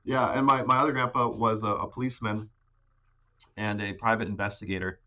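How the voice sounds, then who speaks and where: Halting young man, bright voice. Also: fairly low pitch, steady tone. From Ecuador. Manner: hesitant, many fillers and restarts. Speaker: podcast guest. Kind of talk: casual conversation.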